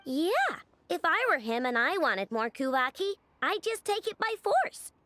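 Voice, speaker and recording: A brash young fighter from an anime game. She is blunt and casual, with a high, lively voice that moves around a lot. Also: measured pace, no echo, exaggerated voice.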